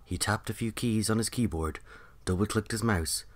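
A man with a deep, low-pitched voice narrates for a story podcast. His delivery is scripted storytelling, in the role of host and narrator. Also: moderate pace.

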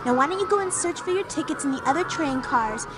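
A woman's voice: high voice, lively intonation, fast talker. A woman playing a confident, caring young girl who takes charge, in a video game with a noisy train-car background. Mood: composed, caring. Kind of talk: video game cutscene acting.

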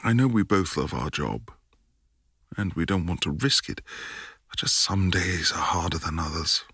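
A man playing an affectionate, playful young boyfriend in intimate ASMR roleplay, murmuring breathily with lingering hums and pauses, close to the mic. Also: low-pitched, expressive, a bit bright, breathy edge, dry recording, exaggerated voice. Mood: tender. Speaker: audio roleplay performer. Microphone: close, dry microphone.

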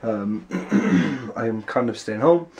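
A man's voice: on the low side, expressive, takes it slowly, darkish tone, slight background noise. A young man with a stuffy, congested nose and sore throat, chatting casually in a vlog and rambling in loose run-on sentences, filmed indoors at home on a handheld camera at arm's length. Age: young adult. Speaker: vlogger. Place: small rooms in a house, indoors.

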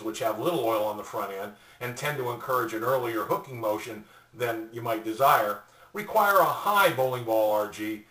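An older man giving a scripted product explainer in a deliberate, formally worded way. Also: medium pitch, sharp tone. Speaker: presenter. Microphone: webcam a little way off. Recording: roomy echo, distant mic.

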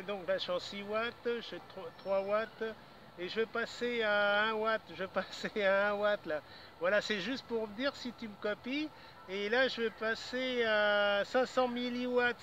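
Older Frenchman, high voice, casual ham radio operator, dull outdoor recording with clear background noise. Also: fairly even intonation, a little slow, sharp tone. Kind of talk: casual radio contact chat. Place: outdoors in a grassy park. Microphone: camera a few metres away, holding radio handset.

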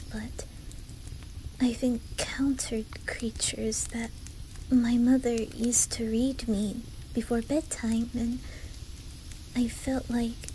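Lady doing a shy little swedish boy, asmr roleplay, really slow and whispery, faint ambient noise behind. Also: on the high side, low-quality recording. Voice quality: soft, whispery. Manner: hesitant, halting, gentle. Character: shy, traumatized young Swedish boy from a fairy tale game.

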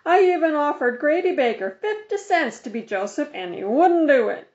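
A woman's voice: high-pitched, expressive, moderate pace, a little mellow, pitched above the performer's own voice. A woman voicing a glum, complaining older boy in a storybook, whiny and resigned, on a slightly dull recording.

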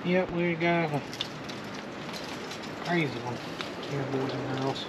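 Guy in his 30s, casual short comments, slow talker, lots of background noise outside. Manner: short, offhand remarks. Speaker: storage unit reseller. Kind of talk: casual chat while sorting through boxes. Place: open storage unit doorway, outdoors. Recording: noisy outdoor camera audio, vehicle rumble.

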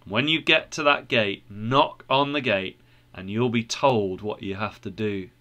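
A man's voice: on the low side, a little slow, pushed and bright, pitched below the performer's own voice. A man playing a guiding evangelist in a storybook tale, giving earnest, instructive directions to the lost. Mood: earnest, urging. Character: calm, guiding evangelist pointing the lost to safety.

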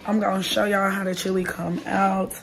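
A young woman with a hoarse, raspy voice chatting casually to viewers while she cooks in her home kitchen. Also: medium pitch, level tone, normal speed, a little hiss or hum. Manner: chatty, talks straight to viewers. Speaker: vlogger. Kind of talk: casual cooking vlog. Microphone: phone camera held close.

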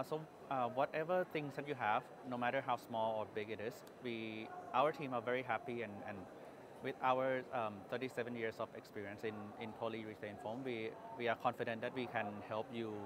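A man's voice: medium pitch, background noise. A man in his thirties with a Thai accent, a company executive answering interview questions at an exhibition booth, hesitating often with fillers and restarts. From Thailand. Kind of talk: trade show interview. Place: exhibition hall booth, indoors.

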